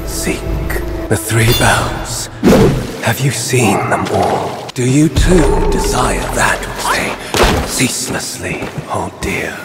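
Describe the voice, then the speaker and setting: A man playing a solemn, cryptic guardian in a video game cutscene, speaking poetically and theatrically over music. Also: medium pitch. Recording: loud cinematic music behind.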